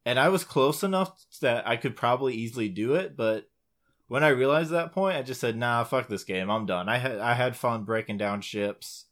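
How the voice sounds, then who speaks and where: A man's voice: medium pitch, normal speed, fairly bright tone. Male podcast co-host, informal and sweary, casual gaming chat between friends. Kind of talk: casual chat about video games.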